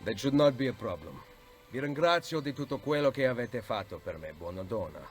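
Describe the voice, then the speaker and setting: An actor playing a stoic, courteous Italian assassin in a video game, speaking with an Italian accent. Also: male voice, medium pitch, very animated, a little fast, faint music behind, natural voice. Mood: weary, courteous. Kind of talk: video game cutscene acting.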